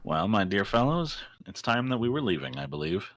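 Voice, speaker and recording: Man playing a deep-voiced, courtly gentleman. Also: sing-song, slight background noise. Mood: composed, courtly. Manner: formal, measured, articulate. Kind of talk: fan-dub visual novel acting.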